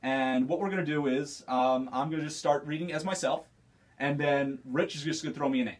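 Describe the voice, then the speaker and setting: A man's voice: a little high, steady tone, brisk, distant mic. A man, a comedian and impressionist, chatting casually on a radio show. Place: radio studio.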